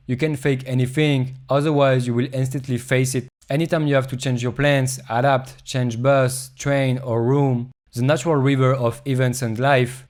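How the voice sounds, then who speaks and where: Flat-voiced man narrating. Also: medium pitch. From Europe. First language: French. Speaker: travel vlogger. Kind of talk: reflective scripted voice-over narration.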